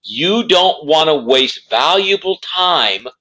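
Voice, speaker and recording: A man's voice: a little high, lively intonation, slow pace, sharp tone. A man presenting as a career coach, giving instructional advice in an emphatic way and repeating key words for stress. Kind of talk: instructional talk to camera.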